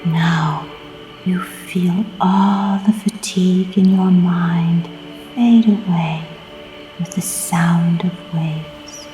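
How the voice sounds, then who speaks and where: Female meditation narrator: soft, half-whispered and soothing, lowish pitch, clearly audible music behind, long pauses between phrases. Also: steady tone. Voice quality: soft, breathy, often near-whispered. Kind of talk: scripted guided meditation.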